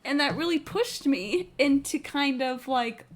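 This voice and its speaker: A woman live-streaming a game, chatting casually in an expressive, chatty, sweary way. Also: high-pitched, measured pace. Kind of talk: casual livestream chat over gameplay. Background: faint game music.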